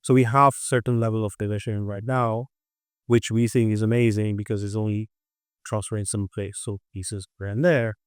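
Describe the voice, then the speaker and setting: A man's voice: lowish voice, steady tone, normal speed, clear, resonant tone, no room sound, clean studio sound. A man discussing his industry as a tech consultant, emphatic and often repeating words mid-sentence.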